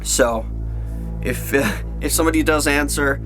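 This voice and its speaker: A man's voice: a little high, unhurried. Casual male vlogger over prominent music. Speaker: video host. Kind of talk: casual chat to viewers.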